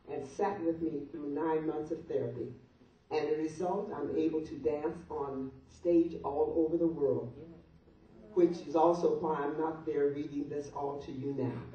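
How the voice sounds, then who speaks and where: A woman's voice: low voice, warm tone. Older female, guest reader delivering a funny open letter; distant, heavily reverberant room sound. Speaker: guest reader at a birthday party. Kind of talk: reading a humorous open letter aloud to guests. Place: large echoey room at a birthday party. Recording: muffled, very echoey room, distant mic, noise.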